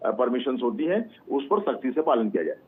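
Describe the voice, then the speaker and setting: Halting male reporter on a phone-like line. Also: medium pitch, very animated, breathy edge. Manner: halting, frequent hesitations and repeated words. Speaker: news correspondent. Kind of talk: live unscripted news report. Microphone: phone or remote link.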